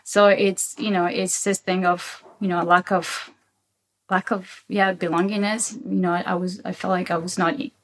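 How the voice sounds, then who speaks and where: A woman's voice: on the low side, flat delivery, normal speed. A woman born in Paraguay with an Argentinian-tinged accent, a guest in a casual interview, speaking reflectively with many restarts and "right?" tags. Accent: Argentinian-tinged English.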